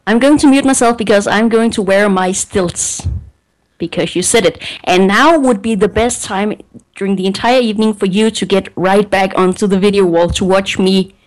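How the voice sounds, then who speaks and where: A woman's voice: medium pitch, normal speed, poor audio. Female co-host, mid-range voice, playful and teasing. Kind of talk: casual group chat on a web show.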